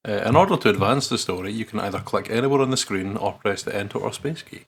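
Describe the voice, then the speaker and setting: A man's voice: fairly low pitch, fast talker, gravelly, slightly rough. A man co-hosting a let's play, casually reading game text aloud with laid-back, joking asides. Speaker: co-host partner on a gaming channel. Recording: faint background noise and ambience. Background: faint noise, ambience.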